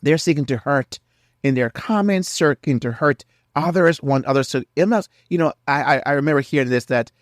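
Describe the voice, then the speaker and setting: A man's voice: a little high, normal speed, no echo, clean studio sound. A middle-aged man hosting a faith-based marriage podcast, earnest and preacherly, sounding nasal from a cold. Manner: earnest, preacherly, repeats key phrases. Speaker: podcast host.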